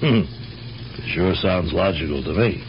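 An actor playing a cautious, skeptical police inspector in a radio mystery, measured and questioning. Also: male voice, low-pitched, expressive. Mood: cautious doubt. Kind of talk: radio mystery drama acting. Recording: muffled, hissy old radio broadcast.

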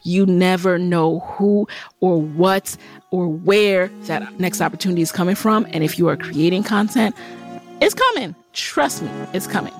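A woman's voice: on the low side, unhurried, faint music behind. A woman in her thirties from Jamaica, hosting a solo podcast in a candid, chatty way with many fillers and restarts. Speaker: podcast host. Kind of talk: solo podcast update, casual chat.

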